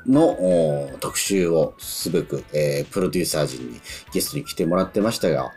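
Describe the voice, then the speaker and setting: Male radio presenter, very low register with lively swings, polite and chatty, music bed behind. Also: brisk. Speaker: radio show host and actor. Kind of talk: radio talk show hosting.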